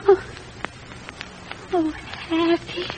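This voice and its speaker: High, girlish old-radio ingenue. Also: level tone, drawn-out words, a little mellow, exaggerated voice. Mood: smitten, curious. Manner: girlish, guileless. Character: naive, smitten twenty-one-year-old landlady's daughter. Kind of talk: old-time radio drama acting. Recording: dull, noisy old radio recording.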